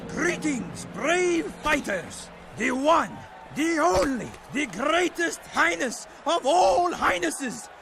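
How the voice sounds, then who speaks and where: A very high, creaky little herald, gushing and theatrical. The pitch leaps about in fawning, grandiose game-cartoon announcements. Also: male voice, big pitch swings, slow, few pauses, noisy background, heavily acted. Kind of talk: cartoonish video game acting.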